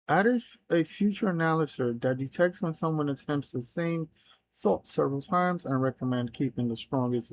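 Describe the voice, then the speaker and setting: A man's voice: medium pitch, a little mellow. A man whose first language is Spanish, streaming live as a developer, reading plans aloud and thinking through them with frequent restarts and trailing phrases. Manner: halting, reads plans aloud with many restarts.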